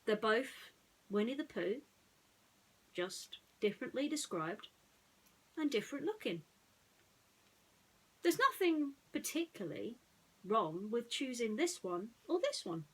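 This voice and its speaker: A woman's voice: fairly high pitch, big pitch swings, unhurried, pauses often, husky. A woman storyteller narrating a bedtime story in a chatty way, putting questions to her listeners. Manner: addresses listeners with rhetorical questions.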